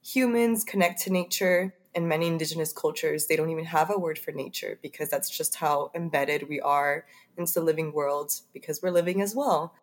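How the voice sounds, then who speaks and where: Lower-voiced woman guest with a cold. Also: fairly quick. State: a little under the weather. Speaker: podcast guest. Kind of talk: casual chat.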